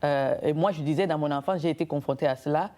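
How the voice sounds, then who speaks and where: A woman's voice: low voice, fast talker, dark tone. A woman from Cameroon, a guest entrepreneur and author, talking thoughtfully in an interview with frequent hesitations and rhetorical questions. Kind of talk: conversational interview.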